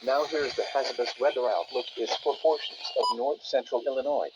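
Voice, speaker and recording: A man's voice: medium pitch, steady tone, fairly quick. A synthetic male text-to-speech voice reading scripted weather and alert bulletins as an automated announcer over a radio. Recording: muffled, noisy weather radio playback.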